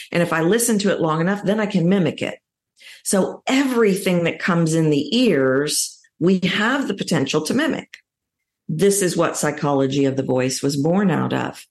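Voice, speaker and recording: A middle-aged woman with a low voice and a Texan accent. She speaks as a coach being interviewed, explaining things emphatically in a conversational way. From Texas, United States. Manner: emphatic, illustrates points with acted-out quotes. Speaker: voice coach, podcast guest.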